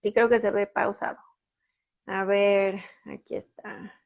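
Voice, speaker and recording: A woman's voice: medium pitch, level tone, slow, gravelly, narrow, tinny audio. Chatty Mexican woman hosting a live stream. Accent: Mexican Spanish. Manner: chatty, many fillers, restarts while waiting on guest. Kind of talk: casual live stream chat.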